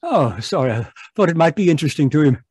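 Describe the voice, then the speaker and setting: Bumbling gentleman doctor for a vintage radio mystery male actor: high, husky voice, fussy and proper, dry sound. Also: sing-song, fast talker, no room sound. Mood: chatty, sheepish. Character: genial, rambling, fussy gentleman doctor narrating his adventure. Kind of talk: 1940s radio mystery acting. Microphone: video call. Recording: live performance over video call.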